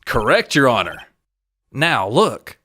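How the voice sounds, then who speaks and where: A man's voice: mid-range voice, sing-song, slow, pushed and bright, exaggerated voice. A man playing a determined young defense lawyer in a video game dub, pressing and confrontational in manner. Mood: accusing. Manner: assertive, pressing. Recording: music under the voice.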